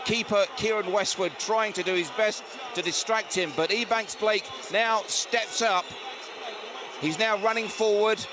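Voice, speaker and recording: Clear background noise surrounds a male football reporter at a stadium. His voice sits high as he delivers live match commentary and score reports. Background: stadium ambience.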